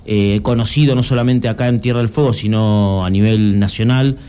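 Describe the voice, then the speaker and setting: Young Argentine man, journalist guest, mellow voice, earnest and halting. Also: lowish voice, steady tone, smooth. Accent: Argentine Spanish. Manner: earnest, explanatory, halting with restarts. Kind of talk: conversational interview.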